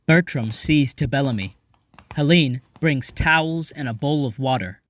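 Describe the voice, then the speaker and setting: A man's voice: mid-range voice, a little slow. A man reading scripted stage directions as the narrator of an audio drama.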